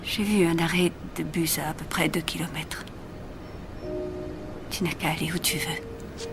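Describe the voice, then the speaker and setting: A woman playing a stern, controlled mother worn down by her rebellious son in a dubbed TV drama, with measured, formal, biting delivery that often turns hushed. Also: low voice, steady tone, vocal fry. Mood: hushed, sorrowful. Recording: music under the voice. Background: music.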